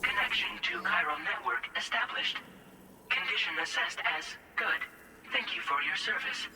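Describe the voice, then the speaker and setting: An actor voicing a polite automated facility system in a video game, giving formal, procedural announcements. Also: male voice, sits high, animated, moderate pace, sharp tone, echoey room, a little hiss or hum, exaggerated voice, low-quality recording. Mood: courteous, procedural.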